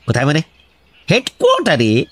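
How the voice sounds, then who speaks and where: Bossy police officer, animated delivery, slightly high pitch, pompous, radio comedy. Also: male voice, brisk, no echo. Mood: urgent, bossy. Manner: pompous, commanding, dotted with English words. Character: bustling, self-important male police officer, brisk and bossy. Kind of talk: Bengali comic radio drama acting.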